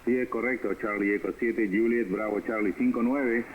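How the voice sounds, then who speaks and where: A man's voice: mid-range voice, fairly even intonation, moderate pace, phone-quality sound. A man, chatting casually as an amateur radio operator. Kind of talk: casual ham radio contact. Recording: noisy shortwave radio reception.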